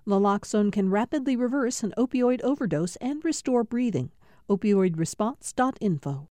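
A woman reading a scripted public service announcement in a crisp announcer style. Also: mid-range voice, expressive, moderate pace, dark tone, no room sound, studio quality.

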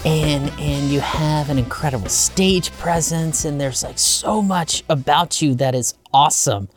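A woman's voice: sits low, unhurried, music in the background. A woman interviewer chatting casually, in an effusive, conversational way.

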